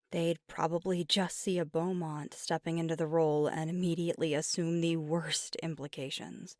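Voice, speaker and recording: Girl doing a werewolf gf for asmr, slow n careful, kinda low breathy voice, candid and loyal, torn, right up on the mic. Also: no room sound, clean studio sound. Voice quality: soft, often breathy and near-whispered. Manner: deliberate, candid, casually profane. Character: fiery young female werewolf girlfriend, earnest, conflicted, loyal. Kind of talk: intimate ASMR roleplay monologue. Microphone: close microphone, dry.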